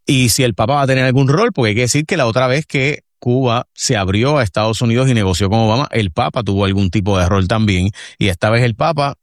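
A man's voice: medium pitch, quick pace, hardly any pauses, dry recording, studio quality. A man hosting a talk show, laying out topics with hesitant restarts and fillers.

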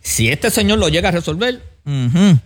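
A man's voice: high-pitched, very animated, moderate pace. A man with a Puerto Rican accent co-hosting a talk show in a casual, conversational way, choosing his phrases tentatively. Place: studio.

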